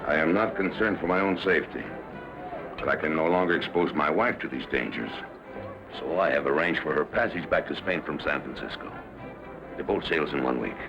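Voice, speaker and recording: An actor playing a proud, wealthy Spanish ranch owner in a western drama, speaking formally and grandly. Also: male voice, extremely low pitch, lively intonation, brisk, highs cut off, music in the background. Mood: grave, formal. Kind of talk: western radio drama acting.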